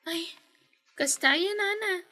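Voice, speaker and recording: Casting: woman plays courteous young Ilocano debt collector, extremely high, bright voice, comic radio drama. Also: slow pace, heavily acted. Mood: polite. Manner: polite, deferential.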